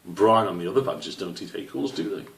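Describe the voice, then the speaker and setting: A man voicing an old father squirrel in a children's story, stern but patient, speaking in a measured, gently questioning way. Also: deep voice, creaky, a little hiss or hum. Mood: wise, instructive. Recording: roomy, slightly distant microphone.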